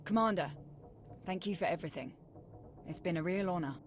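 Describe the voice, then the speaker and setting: An actress playing a loyal, plain-spoken army major in a video game. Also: female voice, fairly low pitch, fairly quick, over music, natural voice. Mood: dutiful, friendly. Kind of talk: dramatic game voice acting.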